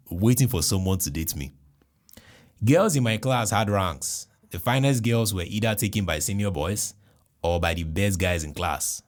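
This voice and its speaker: A man with a Nigerian accent, a podcast host telling a comic personal story in an animated, jokey way with exclamations. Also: deep voice.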